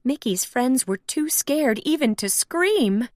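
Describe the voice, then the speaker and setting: Slow, animated woman storybook narrator. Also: sits high, no room sound, studio quality. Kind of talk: expressive children's read-aloud narration.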